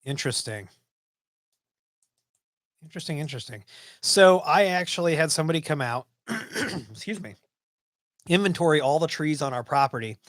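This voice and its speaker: A man's voice: a little high, moderate pace, long pauses, no echo. Guy in his 40s chatting on a desk webcam stream, lots of ums, dry close sound. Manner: relaxed, rambling, many ums and restarts. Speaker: livestream host. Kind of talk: casual live-stream chat reading viewer comments. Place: small home office, indoors.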